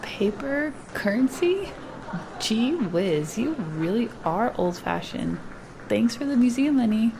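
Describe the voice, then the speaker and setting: A woman's voice: fairly high pitch, very animated, slow pace, creaky, noisy background, exaggerated voice. A woman playing a wry, streetwise young tour guide in a sci-fi audio drama. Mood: teasing. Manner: wry, cheeky.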